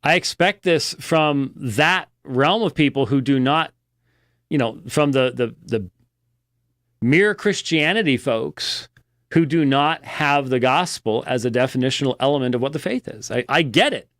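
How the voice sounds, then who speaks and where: A man giving unscripted religious news commentary as a program host, halting and deliberate, with a critical, emphatic edge. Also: medium pitch, expressive, fairly bright tone, dry recording, studio quality.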